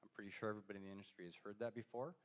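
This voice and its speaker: Low-voiced man on a panel. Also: fairly even intonation, racing. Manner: hesitant, many ums and restarts. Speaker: industry panelist. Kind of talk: panel discussion answers. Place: university event room. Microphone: handheld mic, passed around.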